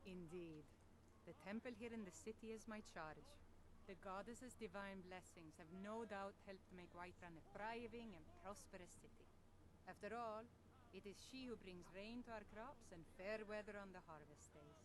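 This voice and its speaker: An actress playing a devout temple priestess and healer in a fantasy video game, measured, earnest and gently grave. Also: female voice, mid-range voice, background noise, close to an everyday voice. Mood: solemn, earnest.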